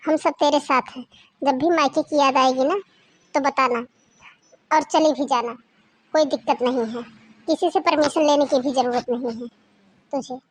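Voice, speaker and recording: A woman voicing a warm, affectionate middle-aged mother-in-law with a coaxing, motherly manner. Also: high voice, fairly even intonation, normal speed, pauses often, close to an everyday voice. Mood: reassuring.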